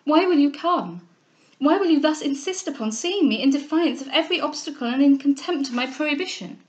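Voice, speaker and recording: A woman reading a classic novel aloud, voicing an anguished, proud young gentlewoman with urgent, formal, emphatic speech. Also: high voice, expressive, brisk, voice pushed up. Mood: agitated reproach.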